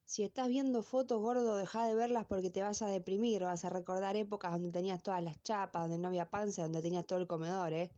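A woman's voice: mid-range voice, fairly even intonation, fast talker, hardly any pauses, warm tone, lo-fi sound, close to an everyday voice. A woman playing a blunt, sarcastic middle-aged classmate in a comic radio play, mocking and foul-mouthed, with an Argentine accent. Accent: Argentine, Rioplatense.

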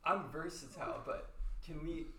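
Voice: mid-range voice, normal speed, fairly bright tone, slight background noise, close to an everyday voice. Performer as shy teen boy, hesitant, echoey room. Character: shy teenage boy, gentle.

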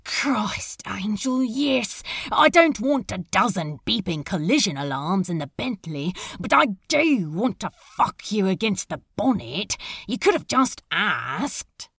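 A brash demon lover, voiced by a woman for a fan audio drama, with a gravelly, husky edge. The delivery is blunt and breathy and swings widely in pitch, in a close, dry recording. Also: very animated, slow, hardly any pauses, fairly bright tone, no echo, full character voice. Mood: impatient, aroused.

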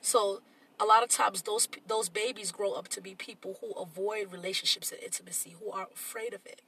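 Casting: congested, nasal woman co-host with a bright, gravelly edge, reflective and talkative, a little off-mic. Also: mid-range voice, fairly even intonation, a little fast, far from the mic, slight background noise, rough recording. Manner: reflective, explains at length. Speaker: podcast co-host. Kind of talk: casual chat.

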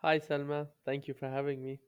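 A man's voice: medium pitch, level tone, fairly quick, clear, resonant tone, lo-fi sound. A man, telling his story conversationally as a podcast guest. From London, UK.

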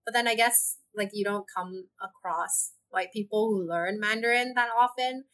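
A woman's voice: mid-range voice, moderate pace. A woman from Hong Kong whose first language is Cantonese, a podcast guest telling stories in casual chat and laughing often. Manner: animated storytelling, laughs often. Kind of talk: casual conversation.

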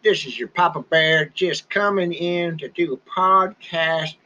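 Man voicing a bear podcast host: rambling, ungrammatical, angry ranter; somewhat high, dull lo-fi sound. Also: unhurried, bright, forceful tone. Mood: ranting. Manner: rambling hick grammar, angry ranting. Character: gruff grumbling male bear podcaster, ranting.

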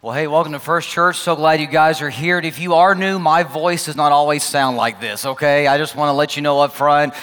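A male pastor with a hoarse, laryngitis-strained voice, giving chatty, upbeat welcome announcements to his congregation and online viewers. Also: a little high, fairly even intonation, fairly quick, hardly any pauses, a bit bright. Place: large church sanctuary with congregation. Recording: long church reverb, faint music.

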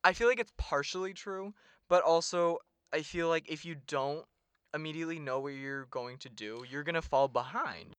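A young man talks with friends on a podcast in a bright, smooth, slightly raised voice. He is chatty, swears casually and laughs easily. The sound is dry and close, though he sits a little back from the mic. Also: fairly high pitch, normal speed, dry recording. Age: young adult. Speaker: podcast co-host. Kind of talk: casual chat. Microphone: sits some way back from the mic.

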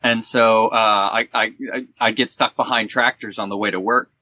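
A man, a teacher, chatting casually on a podcast in a halting, anecdotal way. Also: lowish voice, fairly even intonation, bright, forceful tone, dry recording.

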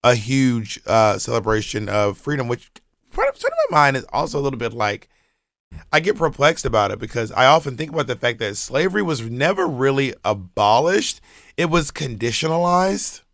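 A man's voice: medium pitch, animated, normal speed, a bit bright, no room sound, clean studio sound. Man telling stories on a podcast. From Georgia, US. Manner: loose, rambling storytelling with frequent restarts and slang. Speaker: podcast co-host. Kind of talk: casual podcast chat.

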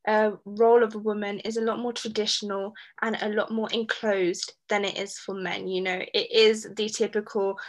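A woman from an Indian background talking casually and warmly about her mother, chatty with fillers. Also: mid-range voice. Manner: chatty, animated, fillers, growing emphatic. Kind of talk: casual personal talk.

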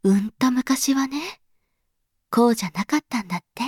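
A woman acts as a patient mother in an audio drama. Her voice sits fairly high, with gentle, reassuring phrasing as if explaining to a child. The recording is very dry. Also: expressive, moderate pace, husky, dry recording. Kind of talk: Japanese audio drama acting.

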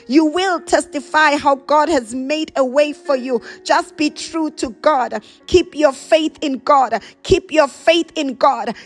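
A high-voiced woman delivers a charismatic prayer as a preacher would to her listeners. She repeats short declarative phrases and moves between plain exhortation and speaking in tongues. Faint music is heard along with her voice. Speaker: preacher praying over listeners.

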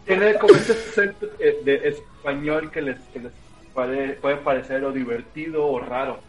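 A man guides his players through a tabletop adventure in a relaxed, unhurried way, full of little fillers. His voice sits at an ordinary pitch. Soft music plays faintly behind him. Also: poor audio. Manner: relaxed, many fillers and restarts. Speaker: game master. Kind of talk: narrating a tabletop game.

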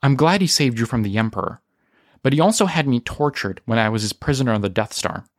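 A man's voice: medium pitch, fast talker, dry recording, studio quality, lightly acted. Man reading as a bitter, strong-willed young woman. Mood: bitter, firm. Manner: flat, firm, unyielding.

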